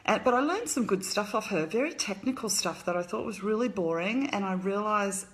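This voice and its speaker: A woman's voice: mid-range voice, expressive, fairly quick. A woman in her fifties from Australia, with an Australian accent, chatting casually on a live stream from home at night, rambling and greeting viewers. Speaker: author hosting a live stream.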